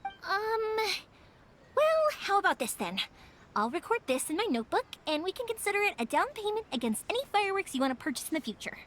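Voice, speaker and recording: Bubbly young fireworks maker. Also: female voice, very high-pitched, very animated, fairly quick, fairly bright tone, heavily acted. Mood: insistent. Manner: bubbly, talkative, eager to help. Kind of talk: English game dub. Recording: game audio with music behind.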